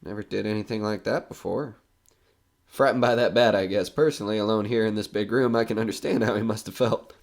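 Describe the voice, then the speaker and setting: A man's voice: fairly low pitch, steady tone, a little fast, creaky. A man playing a nervous, skeptical broadcaster alone in a haunted house in an audio horror drama, rambling and joking anxiously. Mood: uneasy.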